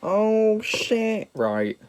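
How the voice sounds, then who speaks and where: A man's voice: sits high, sing-song, drawn-out words, very noisy. Young British man, nasal from a cold, camp and sweary, self-deprecating vlog chat. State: slightly nasal from a sniffle. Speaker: makeup vlogger. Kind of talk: casual makeup tutorial chat.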